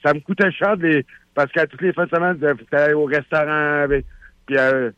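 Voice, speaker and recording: Rambling Quebec caller on a muffled line. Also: male voice, fairly high pitch, steady tone, fairly quick, smooth, no echo. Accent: Quebec French. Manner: rambling, repeats himself, laughs easily. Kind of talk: casual call-in chat. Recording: muffled phone-line call.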